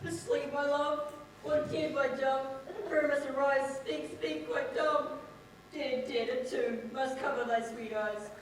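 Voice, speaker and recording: A man playing a grieving lovesick maiden on stage, in mournful, drawn-out, mock-tragic verse. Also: very high voice, fairly even intonation. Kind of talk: theatrical Shakespearean verse. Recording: echoey hall, distant, faint noise.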